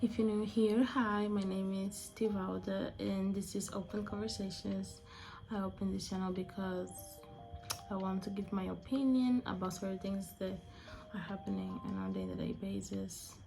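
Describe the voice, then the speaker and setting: Young female vlogger from Angola, Portuguese first language, gravelly, restarts phrases a lot. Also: mid-range voice, moderate pace, over music. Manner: chatty, searching for words, restarts phrases. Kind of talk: casual opinion vlog.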